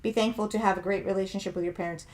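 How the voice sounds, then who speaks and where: A woman's voice: medium pitch, fairly even intonation, rapid-fire, darkish tone. A middle-aged woman hosting a podcast who sounds younger than her age, talking in a reflective, conversational way and often hedging what she says. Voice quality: youthful-sounding voice. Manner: reflective, conversational, hedging with "allegedly" and "if you will". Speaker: podcast host. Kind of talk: casual commentary podcast, reading viewer comments.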